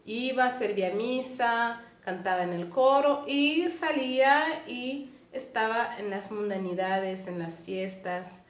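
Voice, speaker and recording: A Canadian woman tells her faith story in Spanish with a Mexican-tinged accent and a little Portuguese slipping in. She speaks earnestly and pauses often to find her words. Her voice carries a roomy echo. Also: a little high, steady tone. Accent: Mexican-tinged Spanish mixed with Portuguese. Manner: earnest, unhurried, frequent hesitations. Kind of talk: personal faith testimony.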